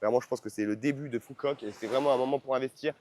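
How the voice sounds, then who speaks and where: A man's voice: mid-range voice, steady tone, rapid-fire, darkish tone. Guy vlogging on location, mid voice, slangy casual talk, repeats himself for emphasis, bit of background noise. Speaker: online course seller. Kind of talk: casual travel vlog talk to followers. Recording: noisy on-location vlog sound.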